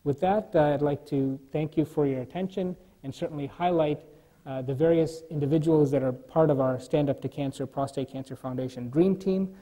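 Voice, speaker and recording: A man delivers a keynote lecture from a lectern in a large conference hall. His voice sits a little high yet sounds mellow and warm. He speaks in a measured way with frequent fillers. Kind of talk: scientific conference talk.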